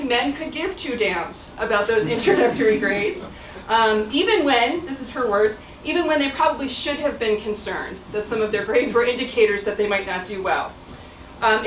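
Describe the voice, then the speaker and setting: Middle-aged woman, talk with no mic, loose and chatty delivery, somewhat high voice, roomy hall picked up from afar. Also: a little hiss or hum. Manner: conversational with frequent fillers. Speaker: speaker. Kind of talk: public talk. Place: auditorium. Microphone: no mic, room pickup from afar. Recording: echoey hall from far off.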